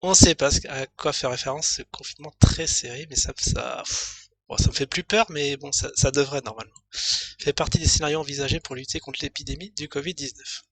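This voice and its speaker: A man's voice: a little high, fairly even intonation, a little fast. A man streaming, reading a news article aloud and rambling through it with lots of asides. He has a slight head cold and his throat is starting to go. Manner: rambling, thinks aloud with many fillers and asides.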